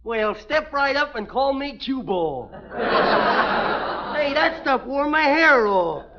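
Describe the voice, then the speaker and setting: An actor playing a dim, good-natured country bumpkin with a folksy, slow-witted drawl in an old-time radio comedy. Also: male voice, very high-pitched, animated, exaggerated voice. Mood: uneasy, goofy. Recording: muffled, very noisy vintage radio recording.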